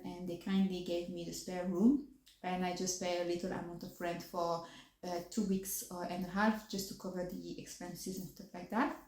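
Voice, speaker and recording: A woman's voice: lowish voice, a little mellow, roomy sound, poor audio. A woman from France with a French accent, speaking English as a second language in a casual vlog chat, her words slightly affected by new braces and broken up by fillers. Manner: articulation a little off from new braces; hesitant, many fillers and restarts. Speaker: vlogger.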